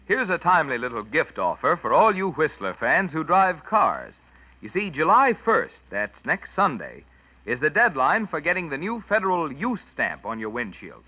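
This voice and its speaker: A man announcing a scripted 1940s radio commercial in a folksy sales-pitch manner. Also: wildly swooping pitch, moderate pace, soft background music. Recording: dull dry vintage radio recording.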